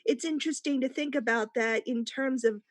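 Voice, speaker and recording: A woman's voice: high-pitched, normal speed, dry recording. A woman panelist in a casual discussion, reflective and given to explaining her points.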